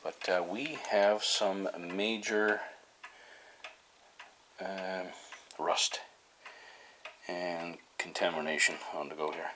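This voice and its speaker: A man's voice: sits low, fairly even intonation, slow pace, long pauses. A man giving casual hands-on commentary as a hobbyist repairing something, tentative and muttering as he works. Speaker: hobbyist presenter of a radio repair video. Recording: noisy home recording with ticking and clicks.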